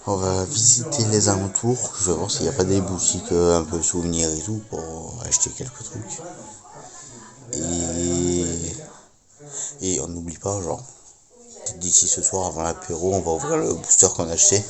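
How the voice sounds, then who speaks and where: A man's voice: low voice, steady tone, moderate pace, a crowd in the background. A man chatting casually to his viewers as a vlogger, speaking informally. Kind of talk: casual vlog chat to viewers.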